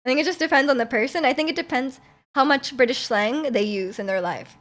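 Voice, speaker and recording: Young woman, streamer, slightly high pitch, casual chat, frequent laughs. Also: brisk, a bit bright. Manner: chatty, laughs often, many fillers and restarts. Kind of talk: casual chat reading viewer comments.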